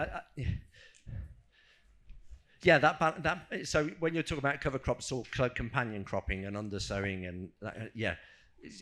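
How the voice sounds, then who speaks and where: A man with a mid-pitched voice talks as a scientist on a panel. He is informal and conversational, feeling his way through answers with frequent restarts and hesitations. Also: a bit bright. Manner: hesitant, self-correcting, conversational. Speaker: scientist on a panel, fielding questions. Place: live agricultural conference panel session.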